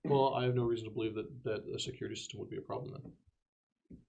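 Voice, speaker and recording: A man's voice: lowish voice, fairly even intonation, fast talker, gravelly, dark tone, highs cut off, a little hiss or hum. A man playing a tabletop game, asking probing, matter-of-fact questions in a conversational style.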